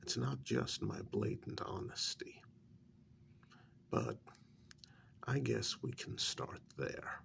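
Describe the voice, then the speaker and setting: A man playing a calm, courteous, frank human mediator in a soft-spoken ASMR vampire role-play, with a breathy, whispery voice and a hesitant, measured delivery. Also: medium pitch, heavily acted. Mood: subdued, frank. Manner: slow, hesitant, polite, measured.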